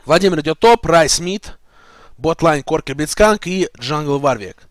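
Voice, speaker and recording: Male esports commentator, fairly high voice, dry close sound. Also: animated, no room sound. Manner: brisk, listing picks rapidly.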